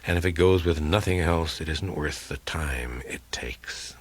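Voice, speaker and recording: A man reading a crime audiobook as a world-weary, blunt male investigator who tells his case in a terse, dry, deliberate way. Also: very deep voice, husky, voice pushed down, exaggerated voice. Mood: earnest. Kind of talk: dramatic first-person audiobook reading of hardboiled crime fiction.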